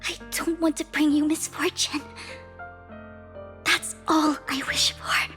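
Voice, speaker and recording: Game role: solemn, proud gothic maiden, high-pitched, formal and devoted, music clearly behind. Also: female voice, little pitch movement, slow pace, bright, forceful tone, full character voice. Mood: sorrowful, resolute. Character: devoted, haughty young gothic lady who foresees misfortune. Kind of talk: anime-style video game voice acting.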